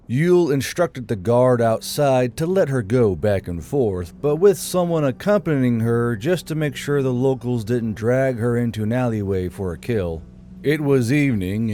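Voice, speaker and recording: Male narrator for serialized fiction, dark relaxed tone, mid-range pitch. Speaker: narrator and channel host. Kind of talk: scripted story narration.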